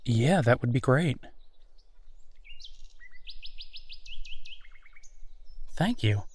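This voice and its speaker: A man's voice: mid-range voice, animated, normal speed, husky. A man playing a friendly, easygoing werewolf in a roleplay audio drama, talking casually and reassuringly with small hesitations. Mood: easygoing, considerate. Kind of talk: roleplay audio drama, natural one-sided conversation.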